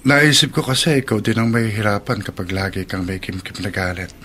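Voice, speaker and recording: Low-voiced, patient husband. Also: male voice, fairly quick, slight background noise. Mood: understanding. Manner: soothing, earnest. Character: gentle, patient, reasoning husband. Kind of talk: Filipino radio drama acting. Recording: loud music bed under voice.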